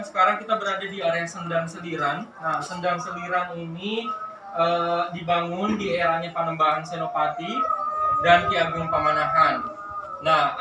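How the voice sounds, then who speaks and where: A man from guiding a group on a tour, explaining conversationally and checking in with his listeners. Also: high-pitched. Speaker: tour guide. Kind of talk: guided tour commentary to a group. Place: on site at a historical cemetery and bathing pool. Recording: noisy, echoey, distant live tour recording.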